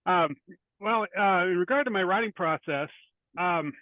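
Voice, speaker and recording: A man's voice: high voice, normal speed, no room sound. A man in his fifties or older answering interview questions as an author, hesitant and reflective. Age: 50s or older. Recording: tinny phone-like line, dry.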